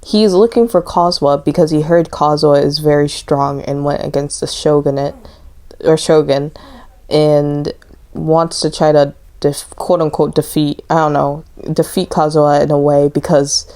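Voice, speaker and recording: A woman's voice: low voice, steady tone, normal speed, mellow. A woman giving casual, off-the-cuff commentary as she streams herself playing a video game.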